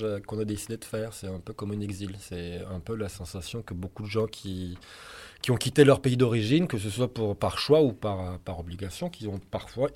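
A man's voice: on the low side, mellow. Man of Turkish mother tongue, thoughtful rocker. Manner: thoughtful, opinionated, frequent hesitations. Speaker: rock band singer and guitarist being interviewed. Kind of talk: casual interview answers.

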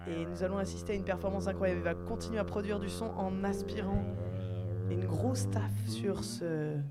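A woman's voice: medium pitch, fairly even intonation, normal speed, loud music behind the voice. Playful woman hosting on radio. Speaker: radio host, interviewer. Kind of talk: playful live radio interview.

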